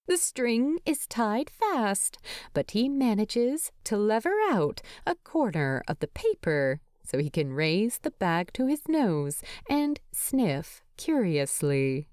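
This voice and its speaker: A woman narrating a story in an expressive, tender way. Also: fairly low pitch, no room sound, studio quality. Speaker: fan fiction narrator.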